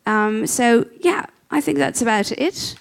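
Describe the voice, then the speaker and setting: A woman hosts a live event, chatting casually through announcements and a guest introduction. Her voice sits a little high and is peppered with ums and uhs. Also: animated, a little slow, gravelly. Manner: casual, filler-heavy. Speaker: event host. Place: event venue.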